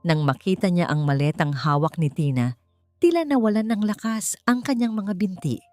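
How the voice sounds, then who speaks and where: A woman reading a dramatic scripted story in a solemn, measured, theatrical way. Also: lowish voice, mellow, no room sound. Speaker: storyteller, narrator. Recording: dry voice over background music. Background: music.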